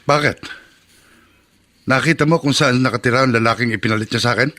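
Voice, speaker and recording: A man's voice: medium pitch, fast talker, sharp tone, lightly acted. An actor playing a hot-tempered, vengeful middle-aged father and mechanic in a radio drama. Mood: tense. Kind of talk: Filipino radio drama acting.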